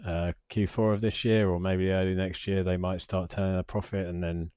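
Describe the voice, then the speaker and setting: A British man in his forties with a low voice talks casually through a screen share. He rambles and hesitates, often putting himself down. The recording is slightly dull but quite dry. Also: level tone, normal speed, dark tone, clean tone, no room sound. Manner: rambling, hesitant, self-deprecating. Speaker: personal finance vlogger.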